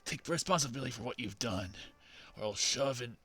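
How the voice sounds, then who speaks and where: A man voicing an edgy, brooding male hedgehog in a low, muttering deadpan. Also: brisk, breathy edge, exaggerated voice.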